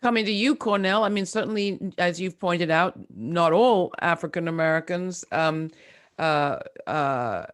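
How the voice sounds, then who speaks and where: A woman moderating a panel discussion, asking questions in a brisk, conversational way. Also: lowish voice, dry recording, clean studio sound.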